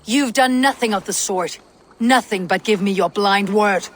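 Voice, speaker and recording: A woman's voice: medium pitch, a little slow, close to an everyday voice. An actress playing a blunt, loyal Viking warrior woman in a game cutscene, terse and sardonic, with a wary edge. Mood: defiant, sardonic. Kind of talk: video game cutscene dialogue.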